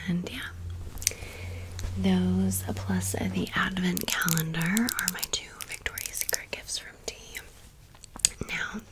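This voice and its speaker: A woman in her thirties whispering softly in a chatty ASMR show-and-tell, blunt and casual. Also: fairly low pitch, low-quality recording. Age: 30s. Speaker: ASMR creator. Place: home room with fridge and outside noise.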